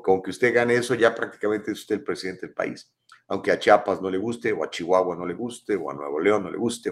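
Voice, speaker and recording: A man in his fifties hosts a live talk show, reading and answering viewers' comments. His voice sits fairly low, and he talks in an animated, emphatic way, full of rhetorical questions. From Mexico. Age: 50s.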